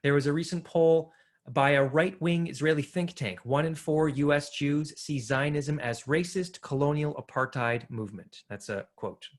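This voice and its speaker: A man's voice: a little high, moderate pace. A man speaking earnestly as a panel speaker, answering audience questions with frequent hesitations. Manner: earnest, argumentative, frequent filler "uh".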